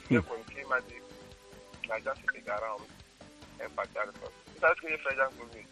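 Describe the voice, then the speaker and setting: A man's voice: medium pitch, fast, bright, forceful tone, faint music behind, rough recording. A man on a radio talk show, speaking earnestly about raising children. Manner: earnest, moralizing. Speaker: guest.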